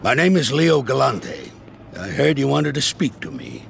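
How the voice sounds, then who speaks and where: An actor playing an older mob boss, blunt and dry-toned. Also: male voice, mid-range voice, animated, moderate pace, creaky, a little hiss or hum. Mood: calm. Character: older male mob boss, calm and commanding.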